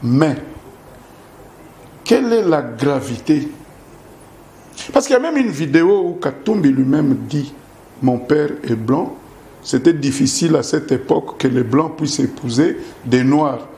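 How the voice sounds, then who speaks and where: High-voiced, insistent Congolese man arguing. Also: animated, a little slow, long pauses, warm tone, reverberant, a little hiss or hum. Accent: Congolese French. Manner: insistent, argumentative, repeats himself, asks rhetorical questions. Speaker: interview guest in a political debate. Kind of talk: heated political argument.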